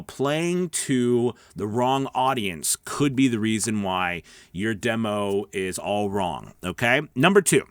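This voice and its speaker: A man's voice: medium pitch, a bit bright, no room sound, clean studio sound. A man coaching in a casual, instructional way, talking emphatically and conversationally and checking in with the listener as he explains. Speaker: voice-over coach and video host. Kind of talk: casual instructional talk to followers.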